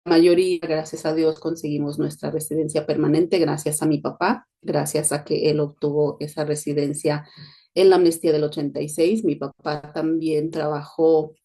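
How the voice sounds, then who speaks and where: A middle-aged Mexican-born woman speaks in a neutral Spanish accent, her voice low and warm. She talks in a steady, flat-toned, reflective way, like a host sharing her story on a podcast. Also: normal speed. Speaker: podcast host, education consultant. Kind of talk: personal monologue podcast.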